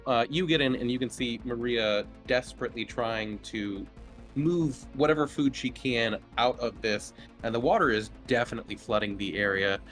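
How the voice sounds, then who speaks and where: Male game master, mid-range voice, improvised narration, halting delivery, clear background music. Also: medium pitch, a bit bright.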